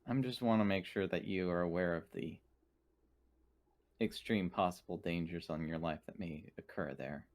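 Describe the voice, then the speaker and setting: A man voicing a formal, dutiful and protective android in measured, precise phrases for a tabletop game. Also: low voice. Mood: protective, solemn.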